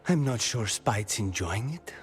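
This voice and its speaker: An actor playing a brooding, dry-witted assassin haunted by a demon in a video game, speaking in measured, wry, clipped phrases. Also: male voice, lowish voice, animated, faint music behind.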